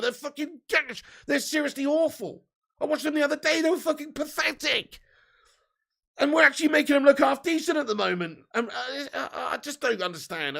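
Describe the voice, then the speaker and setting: A man with a hoarse, fading voice, giving sweary, excitable live fan commentary while reading chat. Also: very high voice. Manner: swearing, excitable, shouts at chances. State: losing his voice. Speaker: fan watch-along streamer.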